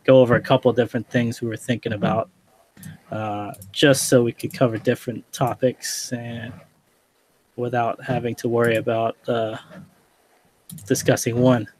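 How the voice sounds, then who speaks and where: A man's voice: medium pitch, normal speed. A man in his thirties hosting a casual podcast over a video call, sounding stuffed up and nasal, rambling with lots of fillers. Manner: relaxed, rambling with many fillers. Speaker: podcast host. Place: small office room indoors. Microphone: headset on a video call.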